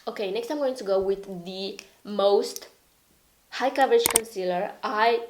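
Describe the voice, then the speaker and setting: A woman's voice: mid-range voice, unhurried, slight background noise. Chatty stuffy-voiced woman reviewing makeup. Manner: chatty, rambling, self-deprecating, with frequent "okay?" asides. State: stuffy and raspy from a cold. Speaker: beauty vlogger. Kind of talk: casual product review.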